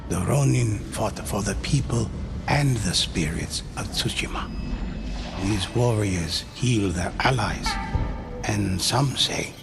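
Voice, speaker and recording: An actor playing a solemn male storyteller who narrates legends in a game, with a slow, grave, measured delivery. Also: lowish voice, creaky. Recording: game audio with loud music.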